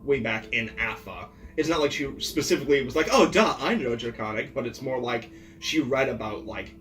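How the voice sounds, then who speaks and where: A man's voice: medium pitch, a little fast, fairly bright tone. A man playing a tabletop game, chatting casually and thinking aloud as he explains an idea. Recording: ambient music behind the voice. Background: ambient background music.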